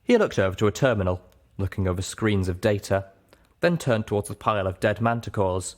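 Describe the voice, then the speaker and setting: Male narrator, lowish pitch, scripted audiobook reading, first-person fiction storytelling. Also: a little fast, a little mellow.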